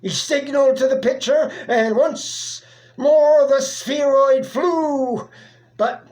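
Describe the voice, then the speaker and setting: A person reciting a narrative poem in a theatrical, deliberate manner with dramatic pauses. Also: sits high, a little mellow. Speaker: poetry reader.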